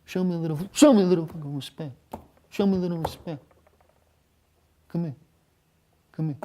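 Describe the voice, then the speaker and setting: A man imitating an older mob boss, hushed, coaxing and menacing. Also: on the high side, a little fast, slight background noise, voice pushed up, close to an everyday voice.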